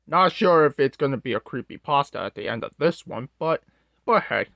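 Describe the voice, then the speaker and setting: A performer voicing an awkward, easily flustered storyteller who haltingly reads a scripted scary story aloud. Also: medium pitch, lively intonation, vocal fry, fairly bright tone, no room sound, studio quality. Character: Strong-Arm, awkward, scatterbrained storyteller host character. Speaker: storyteller host.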